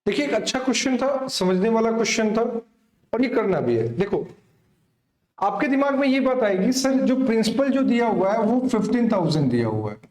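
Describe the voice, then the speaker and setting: Guy teaching exam maths, really high voice, chatty with students, echoey room. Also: lively intonation, moderate pace, darkish tone. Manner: instructive, often asks and answers own questions; addresses students familiarly. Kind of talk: exam coaching lesson, working through a problem.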